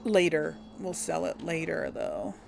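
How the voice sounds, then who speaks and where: Slow, gravelly woman narrating a game. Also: low voice, sing-song, background noise. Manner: chatty, laughs often, thinks aloud. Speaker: game role-play host. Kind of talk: casual let's-play commentary.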